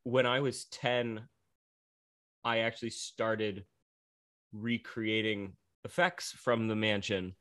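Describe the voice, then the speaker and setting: Eager podcast guest, a young man with a Pennsylvania accent and a bright, sharp tone. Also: on the low side, flat delivery, slow, long pauses. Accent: Northeastern Pennsylvania. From Pennsylvania, USA. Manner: eager, chatty, frequent fillers. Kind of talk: casual interview chat.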